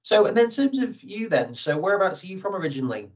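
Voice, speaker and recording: A man's voice: sits high, big pitch swings, fast, muffled recording. A man hosting an interview in a conversational way. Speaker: interviewer, host.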